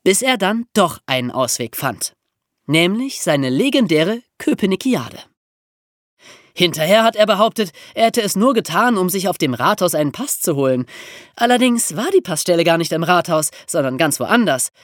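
Animated podcast storyteller with a dry, close sound. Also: medium pitch, normal speed, no room sound. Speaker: podcast presenter. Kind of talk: explanatory storytelling in a history podcast.

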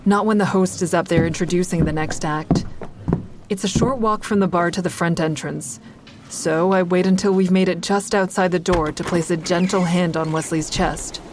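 A woman's voice: fairly low pitch, fairly even intonation, moderate pace, noisy background, close to an everyday voice. An actress playing a wry stand-up comedian who narrates her own story conversationally in an audio drama. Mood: wry, reflective.

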